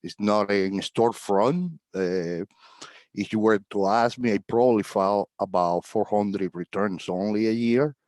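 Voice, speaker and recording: Man, middle-aged, low voice, dry sound, relaxed rambling, video call. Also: a little slow, dry recording. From Cuba. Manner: relaxed, rambling with fillers and chuckles. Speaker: enrolled agent, guest. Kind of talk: casual video-call interview.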